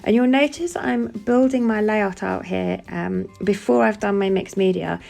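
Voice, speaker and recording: Chatty woman with a gravelly voice. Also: medium pitch, normal speed, darkish tone, faint music behind. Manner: chatty, lots of "um" fillers. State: has a cold, sniffling. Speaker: scrapbooking crafter demonstrating a layout. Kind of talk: casual voice-over for a craft process video.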